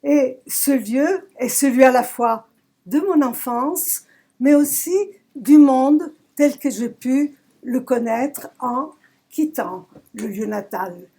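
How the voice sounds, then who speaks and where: A woman from southern Italy, a poet giving a reflective talk about her work, searching for words with emphatic pauses. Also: sits high, warm tone, slightly rough. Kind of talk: reflective talk at a poetry recital. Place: public library event.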